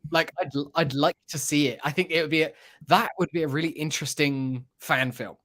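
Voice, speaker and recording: A man's voice: on the high side, fairly even intonation, fairly quick, a bit bright. A man talking casually as a podcast panelist, with an enthusiastic, rambling way of discussing what he has been listening to. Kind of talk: casual chat about a radio drama.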